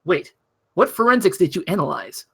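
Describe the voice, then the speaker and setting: An exasperated, scolding judge in a comedy table read, played by a woman. The pitch swings widely as patience runs out. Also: expressive, normal speed, gravelly, fairly bright tone, breathy edge, exaggerated voice. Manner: indignant, scolding. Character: exasperated, stern judge losing all patience.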